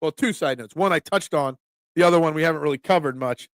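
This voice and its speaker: A man's voice: a little high, fast talker, dry recording. A young man with a Philadelphia accent hosting a casual sports talk stream, chatting loosely and answering viewers by name. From Philadelphia area. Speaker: sports talk show host.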